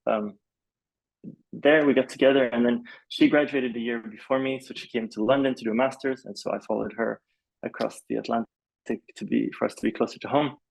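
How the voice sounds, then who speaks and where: Male podcast guest, fry-heavy voice, loose and halting conversational delivery, thinking aloud as he tells his story. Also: medium pitch, fairly even intonation, rough recording. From Malaysia. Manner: rambling, hesitant, peppered with "like" and "you know". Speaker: podcast guest, film composer. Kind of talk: casual interview storytelling.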